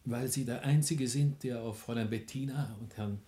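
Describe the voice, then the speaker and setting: A man's voice: mid-range voice, moderate pace, dark tone, close to an everyday voice. A man playing a deferential, worried household secretary in a radio play, speaking formally and insistently. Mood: worried, urgent. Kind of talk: German radio play acting.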